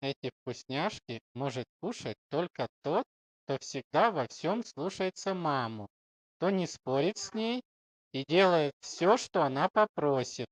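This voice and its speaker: A man voicing a lazy, joking, grumbling father pig in a children's cartoon story, wry and deliberate. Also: on the high side, expressive, no room sound. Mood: playful.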